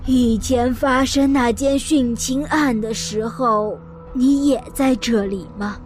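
An actress playing a composed, polite old lady who gently probes in an audio drama. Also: on the high side, steady tone, soft background music. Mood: gently probing. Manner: courteous, measured.

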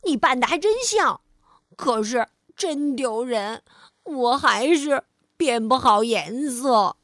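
A woman's voice: high voice, expressive, slow pace, fairly bright tone, no room sound, clean studio sound, heavily acted. A stammering little chameleon, as a woman puts him on. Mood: glum. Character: small male chameleon, nervous and stammering.

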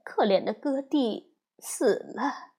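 Calm storybook mother bear, woman reading, slow and soothing, breathy. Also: fairly high pitch. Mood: gentle, soothing. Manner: soft, soothing. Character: gentle comforting mother bear.

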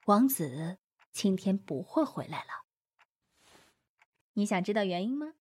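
A woman's voice: medium pitch, big pitch swings, unhurried, darkish tone, husky. A woman playing a brazen, possessive young woman in love, taunting and volatile, in a Chinese audio drama. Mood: smug, taunting. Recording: music behind the voice.

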